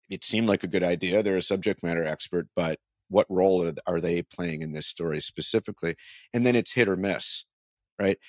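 A man's voice: low voice, no echo, clean studio sound. A man hosting a conversational interview, talking thoughtfully and sometimes repeating words as he starts a sentence. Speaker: interviewer and podcast host. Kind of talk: conversational interview about writing and marketing.

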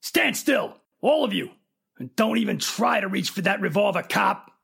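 A man's voice: high-pitched, expressive, moderate pace, vocal fry, a bit bright, breathy edge, heavily acted. A man playing a defensive, menacing private detective in an old-time radio crime drama.

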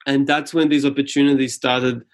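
A man's voice: medium pitch, level tone. A man from Serbia, a Serbian speaker, talking thoughtfully as a podcast guest. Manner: thoughtful, measured, earnest. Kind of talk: reflective interview talk.

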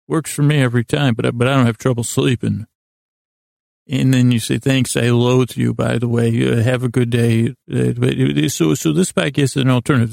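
A man hosting a sleep podcast with a lulling, languid bedtime monologue, meandering and halting, often stammering into false starts. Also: medium pitch, darkish tone, dry recording, clean studio sound. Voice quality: gravelly, creaky. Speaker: podcast host. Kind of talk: rambling bedtime monologue to help listeners sleep.

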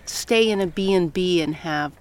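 A woman's voice: on the low side, moderate pace. A middle-aged American woman from Colorado chatting casually as an interviewee outdoors. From Colorado, United States. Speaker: interviewee, professor. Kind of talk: casual conversation. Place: outdoors on a coastal path. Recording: light outdoor ambient noise.

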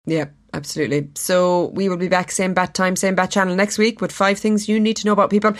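An Irish woman with a deep voice, co-hosting a podcast in a chatty, colloquial and wryly self-deprecating way. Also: fairly even intonation, quick pace. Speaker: podcast co-host. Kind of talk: casual chat.

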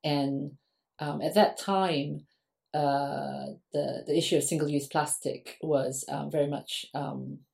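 A woman from Singapore, a guest academic, talks through her answers in a relaxed, conversational podcast interview. Her voice sits low, and she fills pauses with ums as she thinks aloud. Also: gravelly. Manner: frequent ums, thoughtful and conversational.

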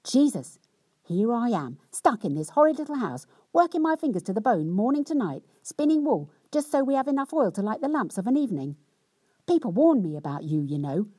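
A woman playing a shrill scolding young wife. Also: sing-song, moderate pace, husky, no room sound. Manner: loud, indignant and sweary tirade.

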